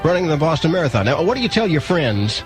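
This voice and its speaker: A man's voice: fairly high pitch, big pitch swings, fast. A middle-aged American man co-hosting a radio morning show, telling wry, joking stories in casual banter. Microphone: studio broadcast microphone. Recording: 1990s radio broadcast, music bleeding in. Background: music.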